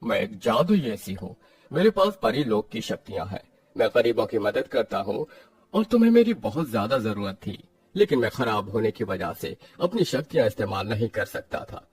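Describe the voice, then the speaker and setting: A man's voice: on the low side, big pitch swings, normal speed, husky. A man voicing a cheerful magical talking air conditioner in a cartoon story, friendly, theatrical and boastful. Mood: friendly, grateful. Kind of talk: Hindi cartoon story acting.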